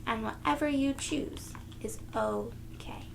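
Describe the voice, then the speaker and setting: A woman reads a storybook to little children in a high voice with a creaky edge. She is expressive and gentle, taking her time and talking straight to the listeners. There is faint noise in the background. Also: takes it slowly. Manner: expressive, gentle, addressing young children directly. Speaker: children's read-aloud host. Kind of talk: storybook read-aloud for young children.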